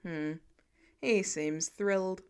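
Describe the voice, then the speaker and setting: A woman's voice: medium pitch, slow, darkish tone, clear, resonant tone, voice pushed down. A woman reads the part of a protective family man in an audiobook-style story. He is dry and insistent, a teasing husband and dad who fusses over the people he loves. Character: protective, bantering husband and father, adult man.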